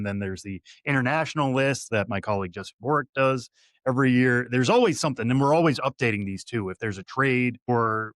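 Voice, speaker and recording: A man's voice: medium pitch, a bit bright, no room sound. A man in his thirties from Western Massachusetts, a guest analyst giving long, detailed conversational answers with light fillers. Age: 30s.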